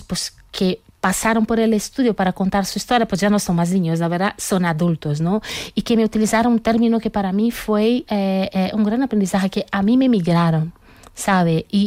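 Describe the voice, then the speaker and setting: A woman's voice: mid-range voice, mellow, no echo. A woman from Brazil with a Brazilian accent, a guest in a radio interview, talking reflectively with many fillers and tag questions. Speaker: guest artist.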